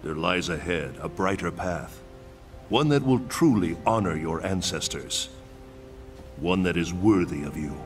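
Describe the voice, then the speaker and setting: A man's voice: sits low, lively intonation, a little slow, soft background music. A man playing a solemn, wise thunder god mentor in a video game, speaking with formal, grave diction. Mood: grave encouragement. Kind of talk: dramatic video game cutscene acting.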